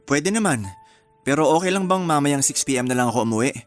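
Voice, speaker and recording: A man playing an affectionate young Filipino fiancé in a radio drama, soft and teasing as he sweet-talks his partner. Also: fairly high pitch, lively intonation, fast talker, faint music behind, natural voice. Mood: conciliatory.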